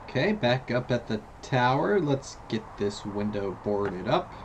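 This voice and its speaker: Slow gravelly man doing gameplay commentary. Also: medium pitch, sing-song, background noise. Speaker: video game let's player. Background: video game ambience.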